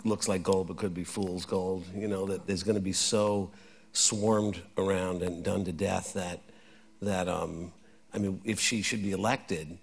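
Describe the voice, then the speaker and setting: Low, gravelly man rambling on a panel. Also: fairly even intonation, unhurried. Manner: rambling, repeats words. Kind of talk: panel discussion on stage. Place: festival stage.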